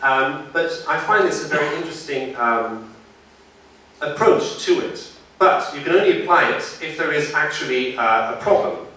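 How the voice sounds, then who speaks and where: Male phonetician giving a symposium talk, slightly high voice, loose and conversational, heard from afar in a very reverberant lecture hall. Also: sharp tone, slight background noise. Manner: conversational, thinking aloud with frequent pauses. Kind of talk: academic conference talk. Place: large lecture room. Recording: very echoey hall, mic far off.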